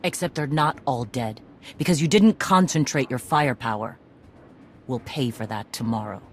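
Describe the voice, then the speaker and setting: An actress playing a battle-weary mercenary commander in a video game, blunt, clipped and dry. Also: female voice, very deep voice, normal speed, a little hiss or hum. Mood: commanding. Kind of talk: cinematic video game acting.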